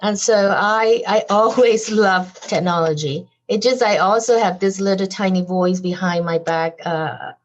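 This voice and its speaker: A woman's voice: mid-range voice, a little slow, hardly any pauses, muffled recording, slight background noise. A woman guest on a podcast interview, talking animatedly about her design business with frequent fillers and light laughs. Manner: laughs lightly as she talks.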